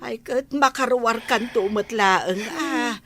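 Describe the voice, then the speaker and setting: A woman acts as a chiding mother in a comedy drama. She speaks slowly in a bright voice with lively rises and falls, recorded close and dry. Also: fairly high pitch, exaggerated voice. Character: admonishing mother of a wayward jailed son.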